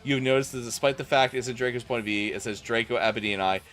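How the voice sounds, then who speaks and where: Guy streamer reading a story out loud, medium pitch, exasperated, music in the background. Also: fast. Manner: exasperated, incredulous. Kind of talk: comic dramatic reading with asides. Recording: music playing behind the voice.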